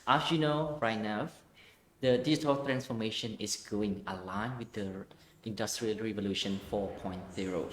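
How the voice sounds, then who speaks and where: A host opens the show in formal, slightly halting English. The voice sits at a mid pitch, and a roomy echo hangs around it. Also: fairly even intonation, slight background noise. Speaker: interview host. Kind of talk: hosting a business interview.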